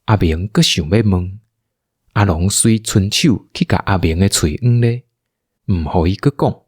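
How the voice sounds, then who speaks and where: A man's voice: deep voice, a little slow, darkish tone, husky, no echo, clean studio sound. A man with a Taiwanese accent narrating a radio drama as a storyteller.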